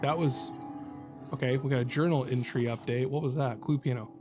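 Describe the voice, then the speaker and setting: A man's voice: medium pitch, fairly even intonation, a little fast, over loud music. Wry man doing casual gaming commentary. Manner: wry, jokey, thinking aloud. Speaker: gaming video host. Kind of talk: casual let's-play commentary. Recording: game ambience and noise behind voice.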